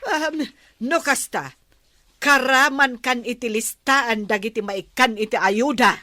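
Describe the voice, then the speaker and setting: A woman's voice: fairly high pitch, measured pace, bright, forceful tone, no room sound. A woman with an Ilocano Filipino accent playing a bossy, vain village captain's wife in a radio comedy drama. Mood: pleased, generous.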